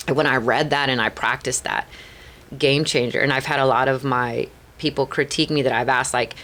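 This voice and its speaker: A woman's voice: rumbling low, fairly even intonation, fairly quick, a bit bright. A middle-aged Chicana woman with a Chicana accent, telling candid stories as a podcast guest, earnest and full of "like" and "right?". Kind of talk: candid storytelling interview.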